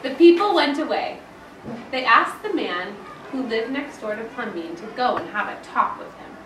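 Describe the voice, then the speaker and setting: A high-voiced woman teacher reads a picture book to young pupils with lively, swooping expression. She leans on key words in a dramatic storytelling manner. The recording is roomy and distant. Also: normal speed, slight background noise. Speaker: elementary school teacher. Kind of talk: expressive picture-book read-aloud to a class. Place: indoor school classroom, with children present.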